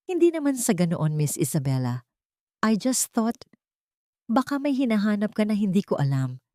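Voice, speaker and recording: A woman's voice: mid-range voice, big pitch swings, normal speed, dark tone, dry recording, studio quality, pitched above the performer's own voice, lightly acted. A woman voicing a guarded but smitten married man with a Filipino accent, defensive and placating, in an audio drama.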